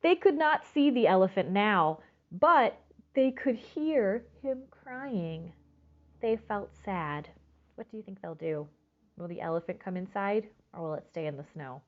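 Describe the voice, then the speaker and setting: A woman's voice: mid-range voice, animated, measured pace, narrow, tinny audio. A woman reading a children's storybook aloud expressively, stretching words for emphasis and speaking directly to young listeners. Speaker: storytime reader.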